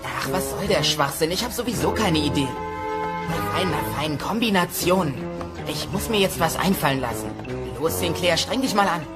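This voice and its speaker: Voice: medium pitch, expressive, normal speed, over loud music, natural voice. An actor voicing an eager, sarcastic teenage dinosaur son with a youthful voice in a cartoon dub. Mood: pondering. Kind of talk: cartoon dubbing.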